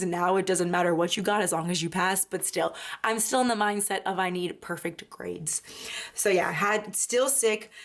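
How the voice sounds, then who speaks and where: A woman's voice: mid-range voice, fairly bright tone. A woman in her twenties chatting casually about her weeks in a planner flip-through, rambling and correcting herself as she goes. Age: 20s. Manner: rambling, self-correcting, lots of "like" and "um". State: recovering from illness, voice partly lost. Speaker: planner vlogger.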